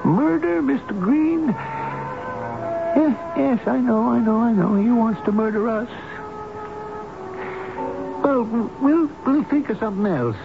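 Radio play: tender, childlike old man, scared and tired, halting folksy talk, muffled broadcast with music. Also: very high-pitched, animated, gravelly, heavily acted. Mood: anxious, weary. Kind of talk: radio drama acting.

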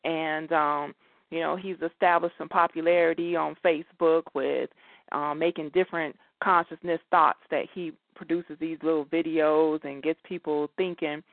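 A woman's voice: deep voice, steady tone, moderate pace, gravelly, no room sound. A woman hosting a talk radio show in a conversational way, addressing her listeners directly. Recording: dry, thin phone-like line.

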